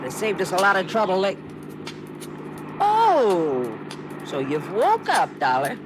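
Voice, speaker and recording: A woman's voice: medium pitch, wildly swooping pitch, slow pace. An actress playing a hard, threatening female criminal accomplice, curt and bossy, in a 1950s radio crime drama. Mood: sardonic. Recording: noisy vintage radio recording.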